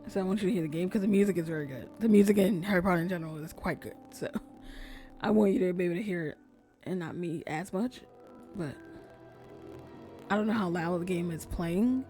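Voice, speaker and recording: Soft-spoken woman streamer, lowish voice. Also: a little fast, soft background music. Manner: chatty, soft-spoken, often drops to near whisper. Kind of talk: casual gameplay commentary. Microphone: desk streaming mic.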